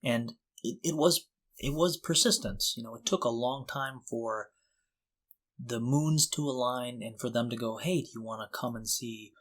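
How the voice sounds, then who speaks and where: A middle-aged man talks casually as a podcast co-host. His voice sits at an average male pitch, and he comes across as reflective and sincere, speaking in a conversational way. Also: moderate pace, fairly bright tone.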